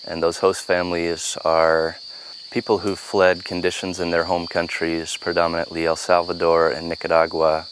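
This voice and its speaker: A man talks about his course in an earnest, measured way. His voice is very low and keeps a flat, even line. Steady outdoor noise sits clearly behind him. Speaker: permaculture co-instructor. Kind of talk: interview to camera.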